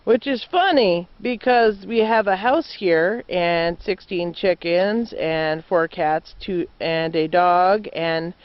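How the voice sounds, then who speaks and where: New woman, earnest and measured, muffled lo-fi recording. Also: mid-range voice, no echo. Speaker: homesteader. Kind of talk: plain spoken statement.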